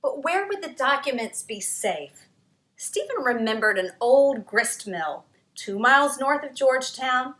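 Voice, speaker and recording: A woman author reading her own picture book aloud at storytime, expressive, with dramatic pauses. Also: high voice.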